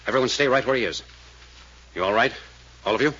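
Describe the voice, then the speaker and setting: An actor playing a brisk, authoritative detective in an old-time radio drama, curt and probing. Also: male voice, mid-range voice, lightly acted. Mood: urgent, commanding. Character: brisk, commanding male detective questioning suspects. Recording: noisy vintage radio serial recording.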